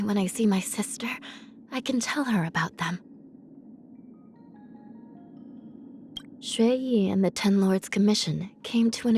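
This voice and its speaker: Woman acting as melancholy young female judge, video game character, breathy, soft, pensive, measured, formal, background music. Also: mid-range voice, natural voice. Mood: wistful, pensive. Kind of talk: scripted game character voice lines.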